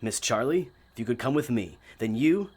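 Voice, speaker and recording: An actor playing a polite, earnest gentleman magician in an audio drama, speaking courteously and a little formally. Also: mid-range voice, expressive, moderate pace, lightly acted. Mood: urgent. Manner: courteous, slightly formal phrasing.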